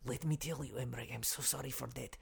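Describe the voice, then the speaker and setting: A husky, creaky Ukrainian foreign correspondent for a comedy news show. He is nervous and hapless, reporting in hushed, broken English with a thick Ukrainian accent and repeating himself as if afraid of being overheard. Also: mid-range voice, fairly even intonation, fast, exaggerated voice. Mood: flustered. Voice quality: hushed, breathy, gravelly. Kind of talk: comic fake news field report.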